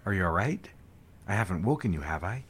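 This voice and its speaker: An actor playing an earnest, formal businessman in an audio drama, a man with a husky voice. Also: low-pitched, very animated, brisk, exaggerated voice. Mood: grateful. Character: businessman courting his secretary, earnest, turning curt when rebuffed. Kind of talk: dramatic audio fiction phone dialogue.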